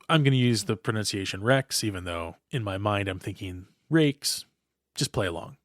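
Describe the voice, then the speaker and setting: Male podcast essayist, mid-pitched, measured and scholarly, very dry recording. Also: dry recording. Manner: measured, erudite, formal phrasing. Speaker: podcast host. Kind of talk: scripted essay narration.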